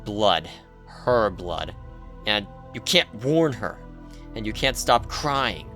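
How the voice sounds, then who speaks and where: A man giving an intense, theatrical scripted narration of video game writing, with deliberate pauses. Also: on the low side, big pitch swings, pushed and bright, soft background music.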